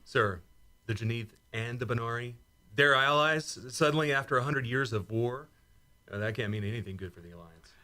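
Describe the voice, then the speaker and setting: A man's voice: medium pitch, normal speed, pushed and bright, close to an everyday voice. A man acting as a loyal, plain-spoken starship commander in a sci-fi radio play, with military deference and a wry edge. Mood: incredulous.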